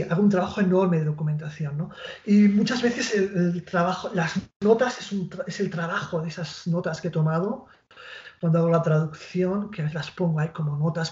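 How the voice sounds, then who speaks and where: A man in his forties with a Spanish accent, a literary translator answering interview questions over a video call, pausing and restarting often and adding tag questions. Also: a little high, darkish tone. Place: small room at home with bookshelf. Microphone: earphone mic on video call. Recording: roomy, dull lo-fi call audio.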